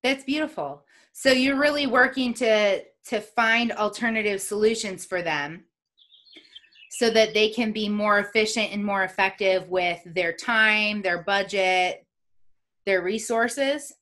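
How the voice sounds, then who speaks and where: A woman's voice: mid-range voice, unhurried, bright, forceful tone. A woman with an upstate New York accent, hosting a casual interview with upbeat, chatty questions. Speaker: podcast host.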